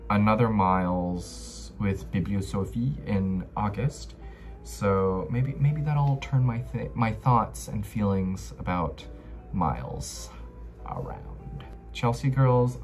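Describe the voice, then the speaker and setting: Young American male book vlogger, unhurried and mid-pitched, casual and slangy, faint music underneath. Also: darkish tone. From Los Angeles, United States. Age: young adult. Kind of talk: casual chat to camera. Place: small bedroom, indoors.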